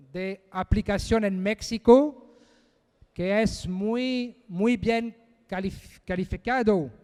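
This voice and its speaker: A man giving a technical conference presentation, speaking haltingly as he searches for words in a second language. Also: sits high, a little mellow, clear, resonant tone, big-room sound.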